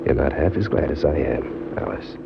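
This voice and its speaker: A man's voice: rumbling low, moderate pace, vocal fry, heavy background noise. An actor playing a cocky, scheming ex-convict drifter, narrating and talking in slangy tough-guy style in a 1940s radio crime drama. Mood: smitten. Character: small-time ex-convict drifter, male, cocky, smitten, scheming. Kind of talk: 1940s radio acting, first-person crime narration and dialogue. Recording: muffled old radio recording, music behind.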